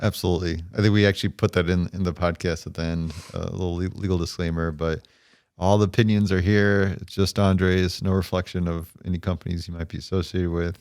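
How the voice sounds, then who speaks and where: Man, podcast host, fairly low voice, dry close sound, relaxed friendly delivery. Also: fairly quick, smooth, no room sound. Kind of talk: business podcast interview. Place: guest's corporate headquarters office.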